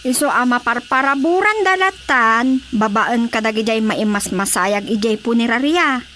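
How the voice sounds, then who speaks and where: A woman's voice: fairly high pitch, lively intonation, moderate pace, fairly bright tone, slight background noise. An actress playing a brash, scheming, sassy village woman in a comedy radio drama, with an Ilocano Filipino accent. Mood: scheming, chatty.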